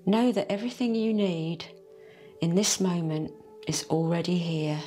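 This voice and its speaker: A woman leading a guided meditation in a soft, soothing, unhurried way. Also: on the low side. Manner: slow, soft and soothing, drawn-out phrases. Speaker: meditation teacher. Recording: faint music behind the voice.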